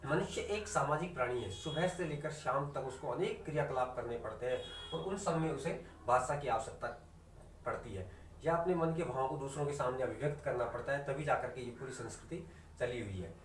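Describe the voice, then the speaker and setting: A man's voice: medium pitch, slight background noise. A man teaching a Hindi class online, asking his students questions, answering them himself and repeating the key terms. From India. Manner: slows right down to stress definitions. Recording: echoey room recorded from a distance.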